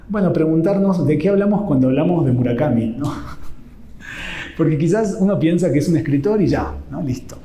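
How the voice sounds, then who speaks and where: A man's voice: on the high side, mellow, roomy sound. A man with an Argentine accent giving an informal talk on literature, often ending his phrases with a questioning "¿no?". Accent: Argentine Spanish. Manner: conversational, keeps adding "¿no?" at the end of phrases. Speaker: literature lecturer.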